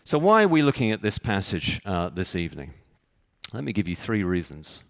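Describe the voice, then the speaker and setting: A man from Texas with a Texan accent, preaching a sermon with measured, halting delivery and frequent pauses. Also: low voice, big pitch swings, creaky, no echo. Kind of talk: sermon with scripture reading.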